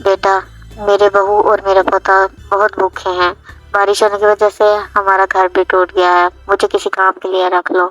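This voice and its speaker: A woman's voice: medium pitch, little pitch movement, normal speed, fairly bright tone, no room sound, lightly acted. A woman voicing a worried, poor elderly grandmother in a cartoon story, with a pleading, plaintive manner. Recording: dull lo-fi audio, music behind.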